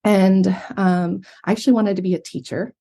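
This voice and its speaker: A woman's voice: medium pitch, normal speed. A woman with an American accent, a professor giving thoughtful interview answers with frequent ums. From Yemen, raised abroad. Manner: thoughtful, steady, filler-heavy. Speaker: guest, psychology professor. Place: remote from Montana.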